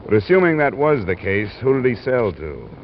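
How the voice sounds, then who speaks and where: An actor with an American accent playing a hard-boiled, wry private investigator in clipped, deadpan old radio style. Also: male voice, medium pitch, normal speed. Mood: skeptical, probing. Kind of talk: 1940s-50s radio detective acting and narration. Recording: muffled, noisy old radio recording.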